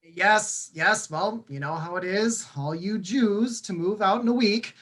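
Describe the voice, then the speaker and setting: A woman's voice: medium pitch, unhurried, a bit bright. A woman acting a flustered, apologetic landlord with a hesitant manner, in an amateur stage reading. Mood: flustered, evasive. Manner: hesitant, repeating words. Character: flustered, apologetic landlord serving eviction notice. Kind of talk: amateur stage play reading over video call.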